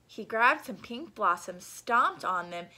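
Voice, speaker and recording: A young adult woman reading a picture book aloud to children, animated and explanatory, with asides. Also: fairly high pitch, slow. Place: small room at home.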